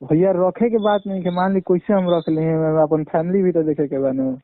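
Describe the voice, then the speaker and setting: A man's voice: sits high, fairly even intonation, normal speed. A young man with a Bhojpuri accent being interviewed informally over the phone, answering in a defensive, pleading way. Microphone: phone line. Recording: roomy, tinny phone call.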